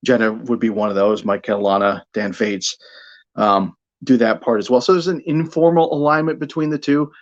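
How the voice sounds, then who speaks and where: A man's voice: mid-range voice, fairly even intonation. Middle-aged American man, slightly dull audio. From Buffalo, New York, United States. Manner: halting, repeats words while thinking. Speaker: guest, radio sports broadcaster. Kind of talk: casual interview conversation.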